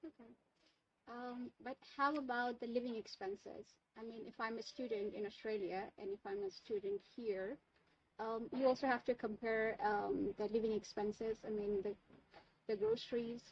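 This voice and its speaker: A woman's voice: fairly high pitch, normal speed. A woman hosting an interview, asking polite, hesitant questions with many ums. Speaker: interviewer, channel host. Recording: muffled lo-fi audio with faint background noise.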